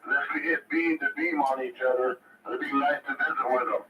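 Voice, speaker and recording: A man's voice: mid-range voice, steady tone, fairly quick, distant mic. An American man calling in over CB radio, chatting casually with other operators. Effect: CB radio transmission. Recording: muffled, roomy radio link, loud music.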